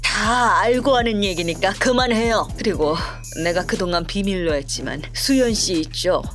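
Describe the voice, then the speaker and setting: A woman playing a stern, principled company chief in a Korean audio drama, measured and authoritative. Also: medium pitch, close to an everyday voice. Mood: stern, disappointed. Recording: background music under the voice.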